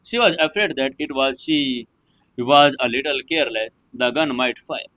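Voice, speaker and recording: A man's voice: medium pitch, moderate pace, bright, forceful tone, low-quality recording. A man narrating a dramatic serial novel as its host, in non-native English with occasional slips and hesitations. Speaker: audio story narrator and channel host.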